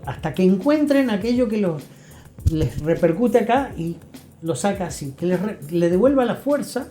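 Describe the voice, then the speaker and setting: A man's voice: high voice, mellow. An older man with an Argentine accent, speaking reflectively and emphatically as a guest therapist, explaining things didactically and repeating key phrases. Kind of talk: reflective interview talk. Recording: roomy echo, faint music.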